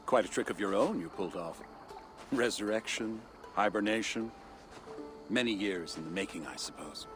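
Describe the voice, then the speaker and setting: An actor playing a shrewd, guarded modern leader in a video game, speaking with dry humour. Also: male voice, lowish voice, normal speed, over music, lightly acted. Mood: wry, probing. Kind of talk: dramatic video game acting.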